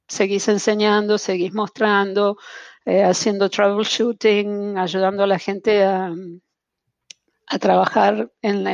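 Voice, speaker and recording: A woman's voice: medium pitch, darkish tone, no echo, highs cut off. A woman from Argentina with an Argentine accent, a guest in an interview, speaking with many fillers and restarts. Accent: Argentine Spanish. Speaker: guest, translation-tool specialist. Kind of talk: interview over video call.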